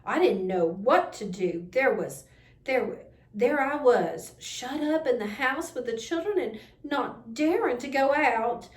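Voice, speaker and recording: Storybook farm wife woman narrator performing, frightened, breathless and emphatic, raised pitch, echoing room. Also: animated, slow. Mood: anxious, emphatic. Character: frightened farm wife recounting a wild-animal scare. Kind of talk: children's storybook read-aloud. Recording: echoey room with background noise.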